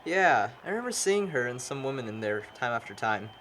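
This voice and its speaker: A man's voice: mid-range voice, sing-song, a little fast, a bit bright. A young American man acting an easygoing, gossipy friend in conversational film dialogue. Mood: amused. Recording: noticeable background ambient noise.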